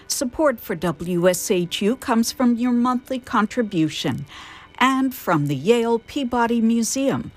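An American woman announcing for a public radio station in a scripted promo and sponsor read. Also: mid-range voice.

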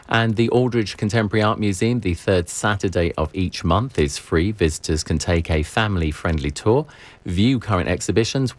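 Man, public radio announcer, very deep voice, smooth scripted read. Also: no room sound.

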